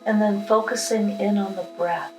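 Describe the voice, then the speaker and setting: A woman leading a guided meditation with affirmations, speaking in a calm, unhurried, instructional manner. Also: mid-range voice. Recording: roomy echo with music behind.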